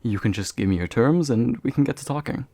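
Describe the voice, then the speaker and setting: A young man acting as a courteous, gentle demon of envy in a soft-spoken ASMR roleplay, formal and soothing with occasional hesitation. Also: mid-range voice, animated, a little fast, no room sound. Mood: formal, curious. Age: young adult. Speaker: voice actor.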